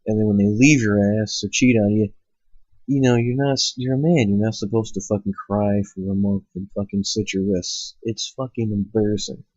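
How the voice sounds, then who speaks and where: A man's voice: on the low side, fairly even intonation, moderate pace, a little mellow, dry recording. A man with a cold and a stuffed-up nose hosting his own show, ranting bluntly and profanely, rambling with lots of fillers. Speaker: host. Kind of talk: ranting monologue. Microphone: cheap microphone. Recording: lo-fi home setup.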